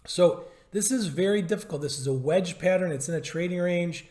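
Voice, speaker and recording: Hoarse guy doing a stock market chart update, kinda high voice, pushy and repetitive, echoey room. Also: a little fast, a little mellow. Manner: emphatic, repeats points, asks rhetorical questions. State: hoarse, losing his voice. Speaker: stock trading educator.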